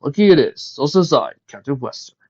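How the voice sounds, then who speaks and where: A man's voice: high-pitched, lively intonation, normal speed, lo-fi sound, pitched above the performer's own voice. A man voicing a stuffy, boastful pirate captain, playful and declamatory, in a story. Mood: dramatic, merry.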